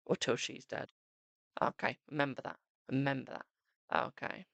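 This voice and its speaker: A man's voice: medium pitch, fairly even intonation, moderate pace, gravelly, a bit bright, no echo, dull audio. A man giving a live-stream psychic tarot reading, chatting to viewers and calling them "my darling".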